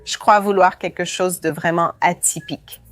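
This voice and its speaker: A woman's voice: on the low side, fairly even intonation, moderate pace, a bit bright, close to an everyday voice. A woman voicing a young bride-to-be who wants something original, in a dubbed reality show. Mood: eager. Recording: music playing under the voice.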